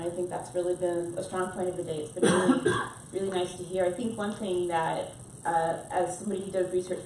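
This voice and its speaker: A woman's voice: lowish voice, a little mellow. A woman speaking as a lecturer on a panel, earnest and questioning. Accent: non-Scottish. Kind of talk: panel discussion remarks. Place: conference room, panel event. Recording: distant, echoey, faint noise.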